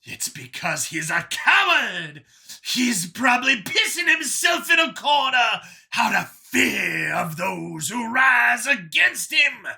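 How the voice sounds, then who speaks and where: A man voicing an arrogant, taunting alien demagogue preacher in an audio story, with bombastic, drawn-out oratory. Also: very high voice, big pitch swings, vocal fry, bright, forceful tone, raised voice, pitched above the performer's own voice, full character voice. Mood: contemptuous, taunting. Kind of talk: audio story character, ranting speech.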